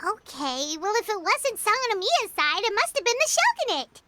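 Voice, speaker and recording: Tiny squeaky fairy, extremely high, animated, feisty, boastful, cartoonish game voice, loud background noise. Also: female voice, normal speed. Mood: concerned, curious. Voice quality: squeaky, childlike. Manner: speaks of herself in third person, excitable. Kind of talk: cartoonish video game acting.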